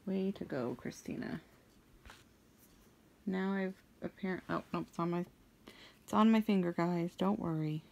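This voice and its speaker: A young woman with a deep, fairly monotone voice chats slowly through her crafting session, trailing off into ums and loose asides. Her low voice carries a gravelly edge, and she takes her time between thoughts. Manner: slow, meandering, many ums and trailing thoughts. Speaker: craft vlogger. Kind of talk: rambling chat while crafting.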